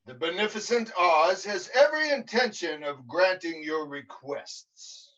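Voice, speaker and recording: A booming, grandiose Oz, as a man plays him, high-pitched and slow, with a bright, ringing edge. He gives orders and mocks like a tyrant. The sound is distant and dull, like a lo-fi home mic. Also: expressive. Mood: commanding. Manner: commanding, grandiose, mocking. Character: booming theatrical male Oz, the hospital Wizard. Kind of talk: livestream script reading.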